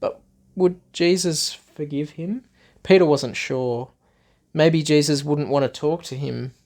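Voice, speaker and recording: Male storyteller, mid pitch, unhurried delivery, crackly fry, expressive reading for young children. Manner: simple phrases, repetition and questions to young listeners. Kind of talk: expressive read-aloud Bible story for children.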